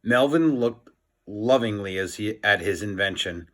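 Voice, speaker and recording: A man's voice: lowish voice, animated, measured pace, a bit bright. A man narrating a children's storybook aloud, as a teacher reading for young listeners.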